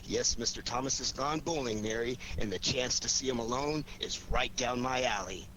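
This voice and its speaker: A man's voice: medium pitch, moderate pace, bright, forceful tone, natural voice. A man playing a cool, shrewd amateur sleuth in a radio drama, wry, probing and self-assured. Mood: pleased, wry. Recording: clearly noisy recording.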